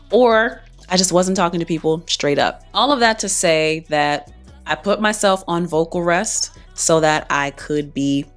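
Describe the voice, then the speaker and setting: Low-voiced woman chatting to camera. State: recovering from laryngitis. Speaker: singer and vlogger. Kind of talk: casual talking-to-camera vlog.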